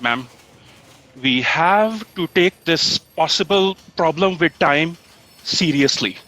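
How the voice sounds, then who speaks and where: Slow, earnest actress as long-suffering office aide. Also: female voice, low voice, lively intonation, a bit bright, heavily acted. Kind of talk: comedic audio drama acting.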